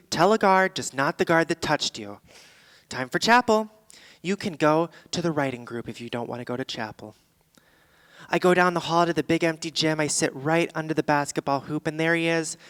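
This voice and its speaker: A woman's voice: low-pitched, smooth. A woman playing a brash, candid woman telling her life story in a theatrical stage monologue, in short punchy phrases. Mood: brisk, mocking, then wry, boastful. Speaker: stage actor in a staged reading. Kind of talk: theatrical monologue before an audience. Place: theatre stage, live performance.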